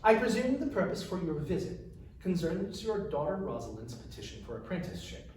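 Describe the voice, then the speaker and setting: A man's voice: a little high, big pitch swings, fairly quick, darkish tone. A man voicing a composed, diplomatic woman who heads a collegium in a storybook reading, courteous and firm. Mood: tactful. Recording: echoey room, distant mic, faint noise.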